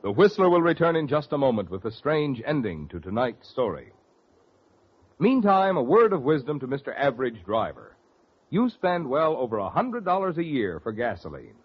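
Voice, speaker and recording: A male radio announcer delivering a polished, emphatic commercial pitch in 1940s style. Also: sing-song, normal speed, husky, faint music behind. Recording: dull vintage radio recording.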